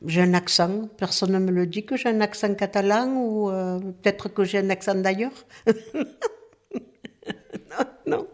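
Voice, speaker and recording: A woman's voice: medium pitch, fairly even intonation, a little slow, long pauses. A woman talking with amusement, laughing between remarks.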